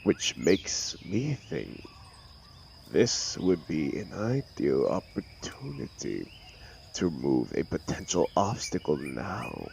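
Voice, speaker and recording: Male actor as arrogant telepathic villain, extremely low voice, very slow drawn-out sneer, audio drama, faint background noise. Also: huge pitch swings, vocal fry, darkish tone, exaggerated voice. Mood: threatening. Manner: sneering, sarcastic, theatrical, drawn-out delivery. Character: arrogant mocking telepathic male supervillain, threatening and contemptuous. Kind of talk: dramatic audio roleplay monologue.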